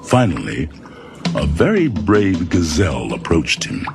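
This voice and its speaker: Deep, animated male storybook narrator. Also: a little slow, gravelly. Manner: expressive, dramatic storytelling. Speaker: audiobook narrator. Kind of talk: scripted children's storybook narration. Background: music.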